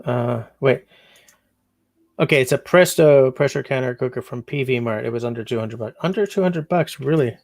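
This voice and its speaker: Gravelly man chatting on a livestream. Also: medium pitch, normal speed, darkish tone, dry recording. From Canada. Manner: meandering, reads out chat comments. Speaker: livestream host. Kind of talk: casual livestream chat.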